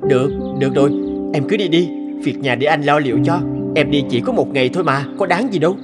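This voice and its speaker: A man voicing a devoted, anxious young village husband in an animated folktale, earnest and expressive. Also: on the high side, fast, close to an everyday voice. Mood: accommodating. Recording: music behind the voice.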